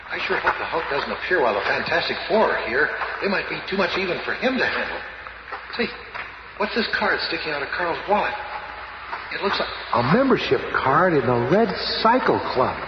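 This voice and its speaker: An actor playing a plucky, earnest teenage boy sidekick with slangy talk in a 1970s radio drama. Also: fairly high pitch. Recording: echoey, noisy old radio recording.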